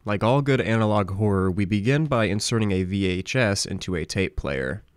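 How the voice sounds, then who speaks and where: A man's voice: on the low side, animated, moderate pace, noisy background. A man hosting a horror review, reading a scripted video essay as narration. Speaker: host, horror series reviewer.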